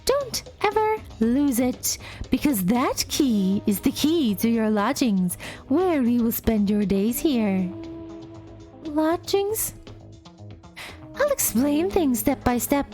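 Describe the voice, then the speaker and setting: Brief: woman voicing a cruel, playful bear mascot from a game, high and swooping, slow sing-song taunts. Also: sing-song, warm tone, soft background music. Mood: gleeful taunting. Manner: sing-song, teasing, drawn-out delivery. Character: mischievous male bear mascot, gleefully cruel. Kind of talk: voicing a game's dialogue aloud.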